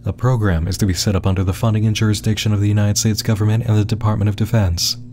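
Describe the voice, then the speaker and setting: A man giving scripted narration in a measured, dramatic storytelling manner. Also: fairly low pitch, little pitch movement, warm tone. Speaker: narrator and host of a web series explainer.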